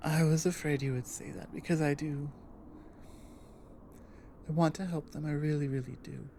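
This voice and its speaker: A woman playing a big, earnest, protective orc warrior in an intimate ASMR audio drama, speaking low in a halting, thoughtful way. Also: fairly even intonation, mellow, slight background noise. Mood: conflicted, anxious. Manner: halting, deliberate, earnest delivery with pauses.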